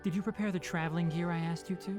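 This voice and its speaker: A man voicing a young, calm and pragmatic fox-eared ranger mentor in a video game, speaking in a measured, precise, articulate way. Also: high-pitched, faint music behind, close to an everyday voice. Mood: composed, reassuring.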